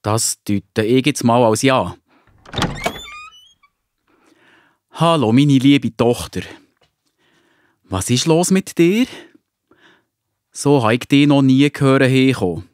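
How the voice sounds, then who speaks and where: A man playing a loving, patient father in a children's audio drama, gently reassuring, with a Swiss German accent. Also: mid-range voice, expressive, takes it slowly, long pauses, no echo, clean studio sound. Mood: concerned, gentle.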